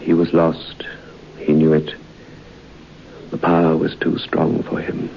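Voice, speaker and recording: A man in a vintage radio drama telling a grim story in a grave, measured way. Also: very deep voice, completely flat delivery, creaky. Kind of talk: 1950s radio drama acting. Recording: dull old radio broadcast with hiss.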